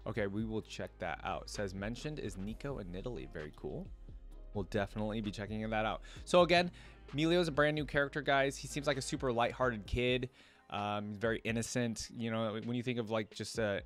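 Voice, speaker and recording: Male reaction host, lowish voice, casual off-the-cuff commentary over soft music. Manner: informal, thinking aloud.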